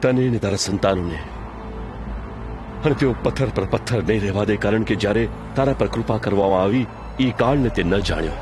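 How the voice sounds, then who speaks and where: Guy playing a grave holy teacher in an audio drama, kathiyawadi gujarati accent, lowish voice, music behind. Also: lightly acted. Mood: grieving, grave. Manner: measured, declamatory. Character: Jesus, a solemn, authoritative male teacher and prophet.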